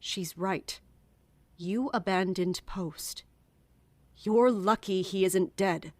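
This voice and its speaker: A stern captain of the guard played by a woman in a fantasy audio drama. She commands and accuses at a deliberate pace. The sound is close and dry, with a mid-pitched female voice. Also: no echo, natural voice.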